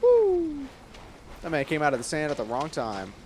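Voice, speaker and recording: A man's voice: a little high, huge pitch swings, normal speed, noisy background. A man giving casual let's play commentary, slangy and reactive, talking back to the game. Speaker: gaming content creator. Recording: game music loud behind the voice. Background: video game music.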